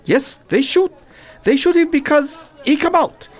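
An actor playing a boastful male bazaar shopkeeper who speaks broken English, in an amateur radio drama. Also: a little slow. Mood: grave. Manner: broken English, pushy and eager, lively pitch.

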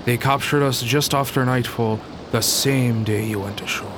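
A man acts a subdued ship's officer in a radio play. His medium-pitched voice is formal and grim, under clear noise. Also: steady tone, moderate pace, natural voice. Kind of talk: pirate radio drama acting.

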